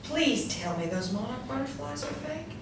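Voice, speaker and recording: Woman acting a high, fretful society lady. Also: lively intonation, moderate pace, creaky. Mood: worried. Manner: exclamatory, fretful. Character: chirpy, anxious, proper female friend and helper. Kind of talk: stage play table reading. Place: small indoor room, seated with scripts. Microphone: distant camera. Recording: echoey room, distant mic, faint noise.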